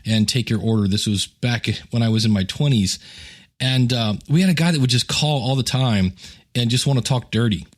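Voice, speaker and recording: A middle-aged man with an American accent, hosting a podcast and telling stories in a conversational, wry way. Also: mid-range voice. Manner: conversational, wry, chuckles at own asides. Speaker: podcast host. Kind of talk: casual solo podcast storytelling, reading emails aloud.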